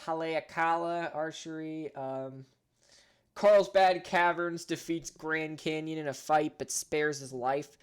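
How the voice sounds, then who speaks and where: A fairly high-voiced American man with a northern US accent reads game results aloud, joking as he goes. His delivery is loose and offhand, and he trips over names now and then. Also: fairly even intonation, slow pace, smooth. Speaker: gaming channel host. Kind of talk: casual commentary reading game events aloud.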